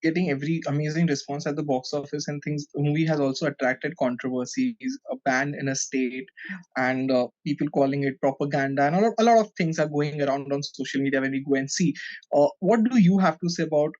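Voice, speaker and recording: A man's voice: a little high, brisk, darkish tone, dry recording, dull audio. A man with an Indian accent asking conversational questions as an entertainment interviewer.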